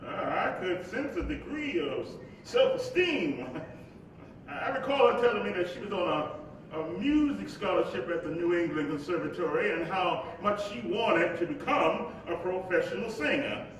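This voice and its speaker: A man acting a playful, devoted young husband in a staged play reading, with a halting, storytelling delivery. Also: high voice, very animated. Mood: fond, reminiscing. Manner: halting, repeats words, chuckling delivery. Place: large echoey room, live staged reading. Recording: very echoey live hall, distant mic, faint noise.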